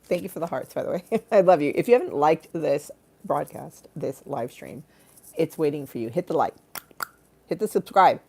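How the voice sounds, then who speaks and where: Mellow, low-voiced woman, quirky and theatrical. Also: animated, normal speed, vocal fry, slightly rough. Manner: quirky, giggly, bawdy and swearing; theatrical, drifting into asides and silly voices. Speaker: live stream host. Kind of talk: rambling comic livestream chat.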